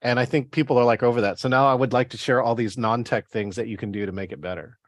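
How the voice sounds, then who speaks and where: Relaxed man explaining, dry sound. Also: mid-range voice, fast talker, no echo. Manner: relaxed, explains in long runs with "right?". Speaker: host and author. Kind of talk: casual interview chat. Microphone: video call.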